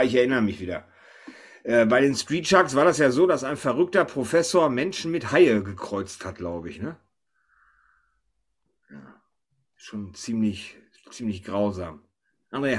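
A man hosting a podcast, chatting casually and informally about pop culture with his co-hosts. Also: mid-range voice. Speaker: podcast host.

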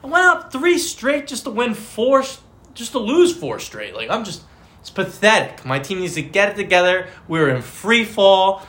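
A man's voice: high voice, big pitch swings, normal speed, pushed and bright. A man co-hosting a casual fantasy football podcast, joking and trash-talking in slangy banter. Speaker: podcast co-host.

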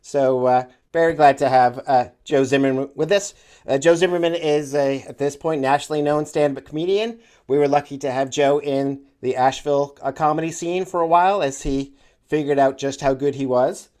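A man co-hosting a podcast, introducing a guest in a halting, filler-heavy way with playful wordplay. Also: on the high side, a little mellow. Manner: hesitant, full of "uh" fillers, wordplay. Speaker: podcast co-host. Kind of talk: casual podcast chat.

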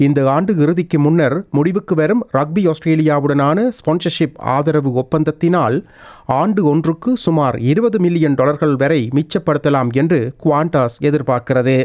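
A man reading a scripted news bulletin as presenter, in a formal, measured manner. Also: a little high, darkish tone.

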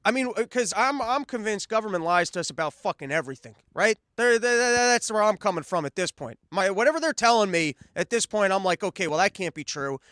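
A man's voice: high-pitched, expressive, a little fast, hardly any pauses, a bit bright, clean tone, dry recording, studio quality. A man hosting a solo comedic podcast live, brash and profane, rambling through riffs. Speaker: podcast host. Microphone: studio mic on a live stream.

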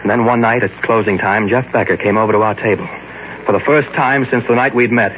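An actor playing an exasperated, devoted husband who narrates his mystery tale in a formal, measured 1940s radio drama style. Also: lowish voice, fairly even intonation, slight background noise, close to an everyday voice. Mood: troubled. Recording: muffled old radio recording with music.

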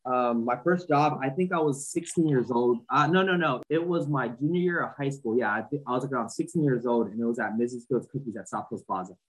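A man's voice: medium pitch, a little fast, few pauses, slightly rough, low-quality recording. A man in his forties, raised in Southern California, talking loosely as a podcast guest with lots of fillers and restarts. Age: 40s. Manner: rambling, many fillers and restarts. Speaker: podcast guest, fashion entrepreneur. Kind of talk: casual interview chat.

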